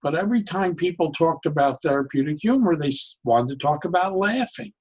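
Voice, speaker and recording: An older man talking reflectively as an interview guest, deliberate and halting, often stopping and restarting his phrases. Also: a little high, expressive, darkish tone, husky. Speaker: interview guest, psychologist and humor educator.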